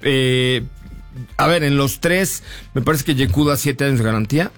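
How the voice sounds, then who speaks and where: A man's voice: mid-range voice, normal speed, faint music behind. A man with a Mexican accent hosting a car show, chatting informally with slang and jokes as he reviews cars. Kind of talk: casual car review chat.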